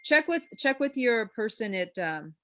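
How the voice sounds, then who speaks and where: A woman's voice: on the high side, big pitch swings, measured pace, heavy background noise. A woman hosting a real estate class on a video call, coaching in a chatty, encouraging way that rambles with fillers. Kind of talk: informal coaching talk.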